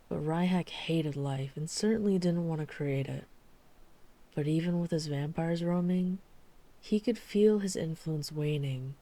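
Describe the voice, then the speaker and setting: A dry-witted vampire hunter played by a woman from an urban fantasy audio roleplay. Her voice is very low for a woman and softly dark. She tells things deliberately, like someone guarded who is confiding in a friend. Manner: measured, deliberate storytelling with dry asides.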